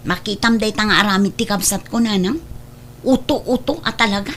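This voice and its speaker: A woman's voice: mid-range voice, normal speed, fairly bright tone, close to an everyday voice. A woman playing a resentful, meddling elder sister in an Ilocano family drama. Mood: indignant, plotting. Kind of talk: Ilocano radio-style family drama acting.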